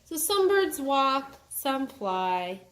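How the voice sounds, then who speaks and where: A woman's voice: sits high, big pitch swings, drawn-out words. A woman reading aloud with a child and teaching how to sound out words in an instructive way, stretching them as she reads. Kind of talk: read-aloud phonics lesson with casual chat. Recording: echoey room, slightly distant, faint background noise.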